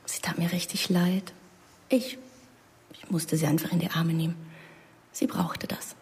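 Tender hesitant woman, very husky breathy voice, dark soft tone, halting delivery, radio drama. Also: fairly low pitch, fairly even intonation, whispered. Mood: subdued, hesitant. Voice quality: breathy, hushed. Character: tender, hesitant woman baring her feelings. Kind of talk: naturalistic radio drama dialogue.

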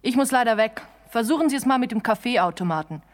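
A woman acts an unbothered receptionist in a comic radio play. Her voice is fairly high. She speaks briskly and dismissively, as if keen to get away. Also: lively intonation, lightly acted. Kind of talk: radio crime comedy acting.

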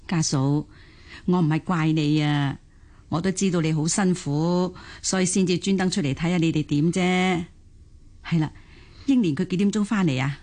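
A woman's voice: lowish voice, normal speed, close to an everyday voice. An actress playing an understanding elderly mother-in-law with a Hong Kong Cantonese accent, sighing and reassuring in radio drama style. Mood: soothing, caring. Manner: sighing, gently chiding, reassuring.

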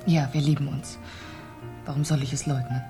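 A woman's voice: deep voice, flat delivery, normal speed, warm tone, faint music behind, close to an everyday voice. An actress playing a proud, defensive married woman suspected of murder, in a formal, dramatic 1970s German radio play style. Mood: confessing, bitter.

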